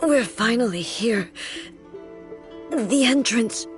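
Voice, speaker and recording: A regal game goddess, weak but resolute, slow n grave, kinda high, music behind. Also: female voice, expressive. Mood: grave, determined. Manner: grave, formal, halting delivery. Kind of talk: dramatic English video game voice acting.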